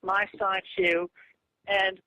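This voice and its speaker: A man arguing on a phone call in a halting, stammering way, often repeating himself. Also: high-pitched, flat delivery, sharp tone, dry recording. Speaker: comic creator on a phone call. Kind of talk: argumentative phone conversation. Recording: muffled lo-fi phone call audio.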